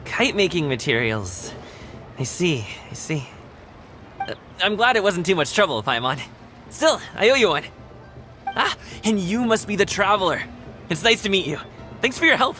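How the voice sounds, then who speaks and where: A man's voice: high-pitched, big pitch swings, normal speed, long pauses, pushed and bright, soft background music, full character voice. An actor playing a friendly, eager young male guard in a video game, upbeat, breezy and reassuring. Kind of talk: animated game dialogue.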